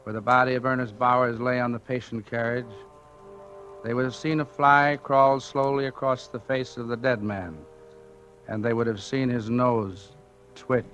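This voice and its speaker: An actor playing a methodical, portentous narrator reciting an accident report in a 1940s radio drama. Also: male voice, mid-range voice, flat delivery, moderate pace, over music, close to an everyday voice. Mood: grave. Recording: dull old radio broadcast recording.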